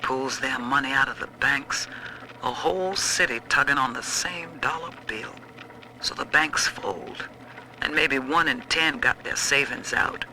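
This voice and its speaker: A man's voice: mid-range voice, a little slow, pushed and bright. A man playing an anxious father and failing nightclub owner in a dramatic game audio log. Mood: worried, resigned. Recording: faintly noisy audio-log recording.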